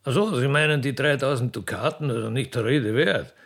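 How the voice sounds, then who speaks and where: Man as flustered old Austrian emperor, gravelly. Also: medium pitch, brisk, fairly bright tone. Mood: gallant. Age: older. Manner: blustering, colloquial.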